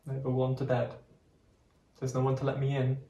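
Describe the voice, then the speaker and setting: A man voicing the thoughts of a sad, lonely female cat in a storybook, quiet and glum. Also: mid-range voice, completely flat delivery, normal speed, roomy sound, highs cut off, natural voice.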